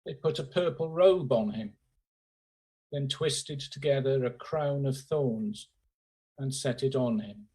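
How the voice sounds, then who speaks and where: A man's voice: medium pitch, takes it slowly, darkish tone, husky. A man reading a scripture narrative aloud as part of a church service. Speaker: scripture reader.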